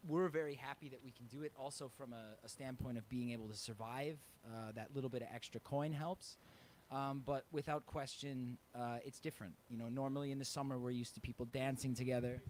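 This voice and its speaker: A man's voice: medium pitch, fairly even intonation, a little fast, darkish tone, a little hiss or hum. A man who works as a touring musician, talking casually in an interview. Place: live online interview.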